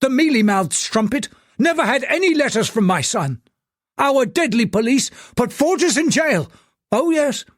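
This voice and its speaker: A man's voice: very high voice, expressive, moderate pace, no room sound, clean studio sound, pitched above the performer's own voice. A male audiobook reader voicing a furious, suspicious rich older man who rants scornfully. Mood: enraged. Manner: ranting, scornful. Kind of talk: audiobook character voice.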